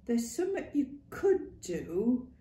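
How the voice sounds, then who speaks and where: Woman doing a worldly old matron: blunt, earthy, knowing, lively, heard in a roomy echo. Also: on the high side, slow pace, pitched above the performer's own voice. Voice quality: earthy dialect, hearty and knowing.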